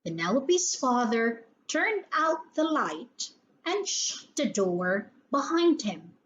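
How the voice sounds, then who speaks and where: A woman's voice: fairly high pitch, very animated, takes it slowly, a bit bright, lo-fi sound. A woman reading a scripted children's story aloud as the storyteller.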